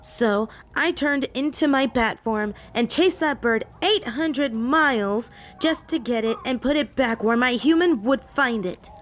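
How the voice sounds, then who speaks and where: A woman acts out a possessive vampire lady with a fairly high voice. She is haughty and theatrical, taunting her rival and doting obsessively in a scripted audio roleplay. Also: lively intonation, measured pace, hardly any pauses, slight background noise. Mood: smug, threatening. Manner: taunting, theatrical, clingy endearments.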